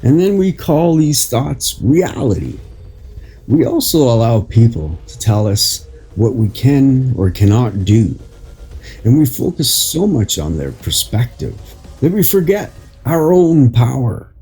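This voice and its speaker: A man reading an inspirational article as a host, in a deliberate, phrase-by-phrase narration. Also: mid-range voice, sing-song, mellow, breathy edge, faint music behind, poor audio. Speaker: podcast host and article reader.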